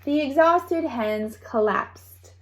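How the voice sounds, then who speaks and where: A woman reads a picture book aloud to children. Her voice sits a little high and she takes her time, telling it expressively and adding playful asides for her young listeners. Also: lively intonation, takes it slowly, poor audio. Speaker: storybook reader. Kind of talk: children's read-aloud storytelling.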